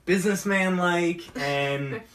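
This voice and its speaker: A man hosting a casual geek talk show, rambling about movies in a halting way and often repeating his words. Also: high-pitched, fairly bright tone. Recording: distant from the mic.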